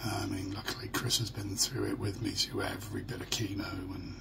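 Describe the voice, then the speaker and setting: A middle-aged British man talks casually to his camera in a low, heavily creaky, croaky voice. His delivery is halting and downbeat, like a personal diary. The home recording carries clear noise. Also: little pitch movement. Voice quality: croaky, gravelly. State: hoarse. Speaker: vlogger. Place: small furnished room at home. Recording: noisy home phone or webcam recording.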